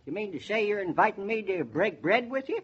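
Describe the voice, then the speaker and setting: A husky, rather high-pitched old Yankee countryman from a 1940s radio play. He has a rural New England accent and talks in polite, quirky, homespun phrases with rustic hesitations. The recording is dull and narrow. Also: expressive, a little fast. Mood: eager, folksy. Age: older. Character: folksy, quirky old Yankee neighbor man, proud and polite. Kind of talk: 1940s radio drama acting.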